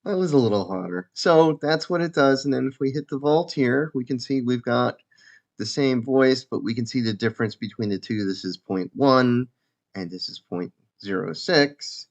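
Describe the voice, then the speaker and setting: A man giving a casual, informal software demo as a developer presenting his own application. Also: mid-range voice, moderate pace. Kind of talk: casual screen-share demo narration. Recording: dull lo-fi mic.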